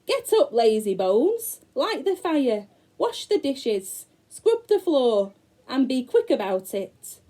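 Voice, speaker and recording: Storybook witch, old and greedy, bossy orders; woman reader doing the voice, swooping pitch. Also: big pitch swings, slow pace, warm tone, heavily acted. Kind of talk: storybook read-aloud for children.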